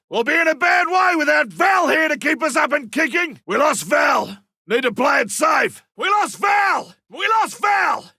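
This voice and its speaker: Gravelly old Australian hunter. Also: male voice, very high voice, pushed and bright, no room sound, clean studio sound, full character voice. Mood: loud, rueful. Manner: barking short battlefield callouts. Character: gruff, hearty old male big-game hunter. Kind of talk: video game combat voice lines.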